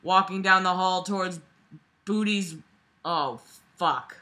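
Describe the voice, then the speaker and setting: Bright, sharp-voiced sarcastic young woman. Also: lowish voice, slow pace. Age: young adult. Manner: sarcastic, profane, exasperated. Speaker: vlogger reading a book aloud. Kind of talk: read-aloud with casual running commentary. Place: small bedroom, lying on a bed. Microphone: device camera microphone at arm's length.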